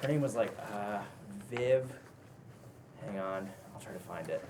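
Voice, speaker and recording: A man playing a worried, sarcastic young best friend with casual, halting slang in a script read. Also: lowish voice, expressive. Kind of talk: table read of a pilot script. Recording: faint background noise.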